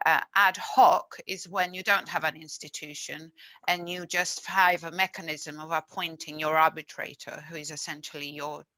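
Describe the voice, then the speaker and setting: A woman's voice: sits low, steady tone, normal speed, pushed and bright, dry recording. A woman panelist explaining a legal matter on a webinar over a video call, with frequent fillers.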